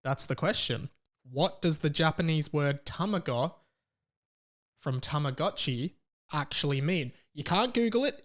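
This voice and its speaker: A young Australian man hosts a casual solo podcast. His voice sits a little higher than most men's. He talks in a rambling, conversational way, often repeating words for emphasis. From Melbourne, Australia. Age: 20s. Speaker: podcast host.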